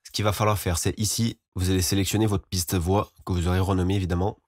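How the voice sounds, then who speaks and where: Fast, low-voiced man teaching. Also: little pitch movement, darkish tone, dry recording, studio quality. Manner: informal, explanatory. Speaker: music production tutorial host. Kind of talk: software tutorial voice-over.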